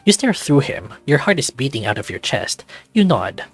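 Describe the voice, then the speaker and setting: Husky man narrating a story. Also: medium pitch, very animated, moderate pace, no room sound, faint music behind. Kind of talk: scripted second-person story narration.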